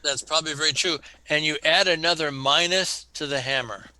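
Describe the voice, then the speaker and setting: Bright, forceful male game master. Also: fairly high pitch, fairly quick. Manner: teasing banter with players. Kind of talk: running a tabletop role-playing game.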